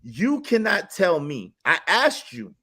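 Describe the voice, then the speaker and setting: Male panel host, high voice, insistent and methodical in debate. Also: huge pitch swings, bright, forceful tone, husky. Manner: measured, argumentative, stammers restarts.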